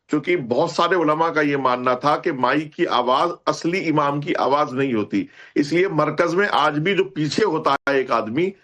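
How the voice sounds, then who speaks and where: A man's voice: on the high side, fairly bright tone. A man speaking as a cleric on a television debate, explaining his argument in an emphatic, didactic way. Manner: emphatic, didactic, repeats key points.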